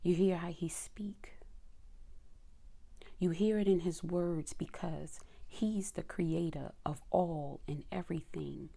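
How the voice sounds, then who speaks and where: A woman preaching a devotional sermon in a soft, intimate whisper, reading scripture and speaking to her listeners as children. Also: fairly low pitch, steady tone, slow, creaky, slightly rough. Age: adult mother.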